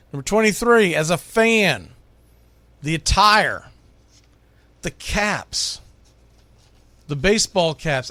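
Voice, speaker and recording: A man's voice: high voice, fairly bright tone, dry recording. A man from the United States hosting sports radio, talking casually and with firm opinions as he counts down a list, leaning on key words.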